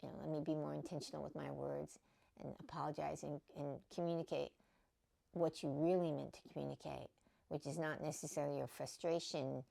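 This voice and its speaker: Low, gravelly-voiced woman teaching spirituality. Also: steady tone, a little fast, warm tone. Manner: conversational, many fillers and "okay?" check-ins. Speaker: spiritual teacher and podcast host. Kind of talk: casual spiritual teaching monologue.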